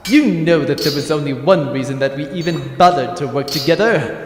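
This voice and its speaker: A man's voice: fairly high pitch, normal speed, close to an everyday voice. A man playing a haughty, scheming space major in a comedic sci-fi audio drama, pompous and sarcastic. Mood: guarded, grudging. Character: haughty male space-major rival, grudging, scheming rogue. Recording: echoey reverberant room, music underneath.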